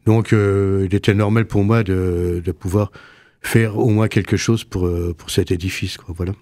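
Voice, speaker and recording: A man in his seventies from Lorraine, answering radio interview questions while pausing to search for his words. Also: low-pitched, fairly even intonation, a little mellow, no room sound. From Lorraine, France. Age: 70s. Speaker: association vice-president, guest. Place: radio studio.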